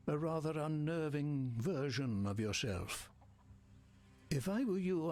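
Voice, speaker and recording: An actor playing a learned villager in a video game, husky and measured, with articulate, old-fashioned phrasing. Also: male voice, on the high side, a little mellow. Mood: concerned, unnerved. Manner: measured, articulate, slightly archaic wording. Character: grateful, learned male villager fond of old artifacts. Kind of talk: video game character acting.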